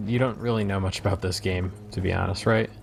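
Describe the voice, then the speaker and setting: A man's voice: low voice, fairly even intonation, quick pace, vocal fry, faint music behind. A man hosting a video game let's play with casual commentary.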